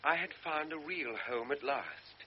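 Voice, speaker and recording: A man's voice: on the high side, unhurried, slight background noise, natural voice. An actor playing a young lieutenant who reflectively narrates his own past in a radio drama. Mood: wistful. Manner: reflective first-person narration. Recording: dull old radio recording.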